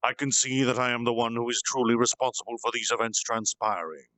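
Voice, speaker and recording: A man voicing a gentle, earnest slime monster leader who was once human, speaking in a solemn, halting confessional way for a storytime reading. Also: mid-range voice, steady tone, no echo, close to an everyday voice, poor audio. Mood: solemn, remorseful.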